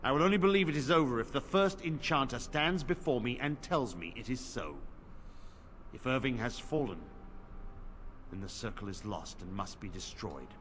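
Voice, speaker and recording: Male actor as a stern templar commander for a video game; grave, lively delivery, long reverb. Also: mid-range voice, moderate pace, slight background noise, natural voice. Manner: grave, solemn warnings. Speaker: game character.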